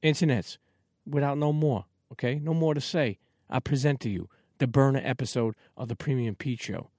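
Energetic New York host. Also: male voice, medium pitch, normal speed, no echo, studio quality. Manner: energetic, repeats "okay". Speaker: podcast host. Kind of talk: casual interview.

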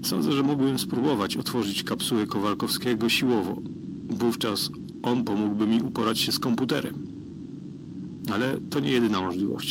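A man's voice: medium pitch, vocal fry, noisy background, close to an everyday voice. A man playing a worried spaceship crewman methodically and formally recording a solitary audio log in a radio drama. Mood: anxious, resolved. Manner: methodical, formal, dryly reporting.

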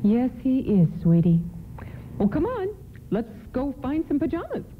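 High-voiced, polite 1950s mother. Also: huge pitch swings, measured pace, full character voice. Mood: cheerful, motherly. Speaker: radio play actor. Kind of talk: nostalgic radio play acting. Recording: lo-fi hissy radio play recording.

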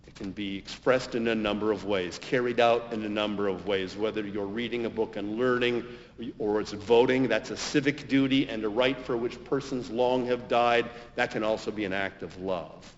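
An American man preaching a homily as a pastor, in measured, deliberate phrases with emphatic stresses and pauses. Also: fairly low pitch, steady tone, a little hiss or hum. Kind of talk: sermon, homily. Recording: dull, reverberant hall recording.

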